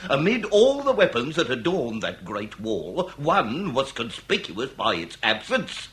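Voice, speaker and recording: A man's voice: mid-range voice, huge pitch swings, unhurried, a bit bright, a little hiss or hum. An actor playing a theatrical Victorian police inspector in a period comedy radio drama, British, eccentric and grandly savoring his own cleverness. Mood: savoring, dramatic.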